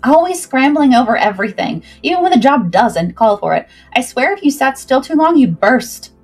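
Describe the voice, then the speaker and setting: A woman's voice: high-pitched, big pitch swings, normal speed, darkish tone, breathy edge, soft background music, heavily acted. A woman voicing a cocky young female pirate helmswoman who banters smugly in a story. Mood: smug teasing. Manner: smug, bantering.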